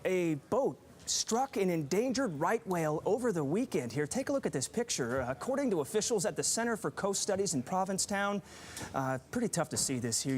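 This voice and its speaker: A man's voice: high voice, slight background noise. A man anchoring TV news, reading stories with some ad-libbed remarks. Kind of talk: broadcast news delivery with some ad-libbing.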